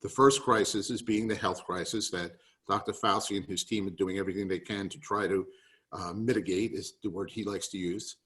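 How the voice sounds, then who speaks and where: A man's voice: low voice, steady tone, a little fast, fairly bright tone. A man with a New York accent giving an emphatic, rallying business talk on a video call. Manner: emphatic, rallying, repeats key points. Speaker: webinar presenter.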